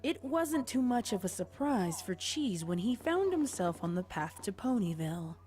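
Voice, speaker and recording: A woman reading scripted story narration in a measured, dramatic way. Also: mid-range voice, big pitch swings, a little mellow. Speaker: fan fiction narrator.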